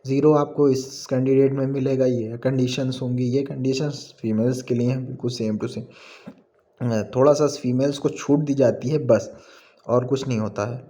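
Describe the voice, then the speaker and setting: Flat, mellow Indian man explaining exam rules. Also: mid-range voice. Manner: halting when reading English aloud, switches between Hindi and English. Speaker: exam information presenter. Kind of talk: informal explainer, reading out notice and commenting.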